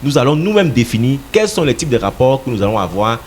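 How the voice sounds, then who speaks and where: A man's voice: mid-range voice, big pitch swings, quick pace, a little mellow, slight background noise. A man from Cameroon, a political party representative answering radio interview questions in an emphatic, insistent way, sometimes repeating words as he searches for them.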